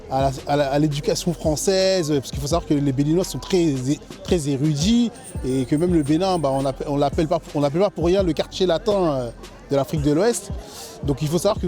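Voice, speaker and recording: A man from France giving a personal testimony in an interview as a food truck chef, speaking haltingly and repeating words as he searches for phrasing. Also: a little high, dark tone.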